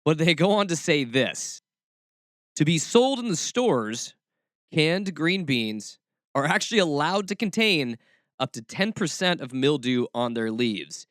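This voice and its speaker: A man's voice: on the high side, sing-song, a little slow, fairly bright tone, dry recording, clean studio sound. A man hosting a talk show, giving casual commentary peppered with wry, sarcastic asides. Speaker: podcast host.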